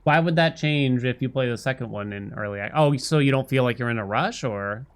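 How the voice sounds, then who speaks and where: A man's voice: medium pitch, fairly quick, clean tone. A man livestreaming a video game, musing aloud and chatting casually with his viewers in a conversational way. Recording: game music behind the voice.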